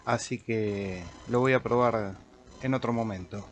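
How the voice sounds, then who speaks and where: A man with an Argentine Spanish accent giving an informal software tutorial, thinking aloud as he goes. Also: medium pitch, measured pace, a little hiss or hum. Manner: informal, thinking aloud while clicking through. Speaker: amateur radio operator demonstrating software. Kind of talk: casual screen-recorded software tutorial.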